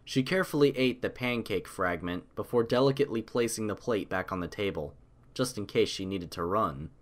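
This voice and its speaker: A man's voice: lowish voice, brisk. A man reading a scripted story aloud as an audiobook narrator.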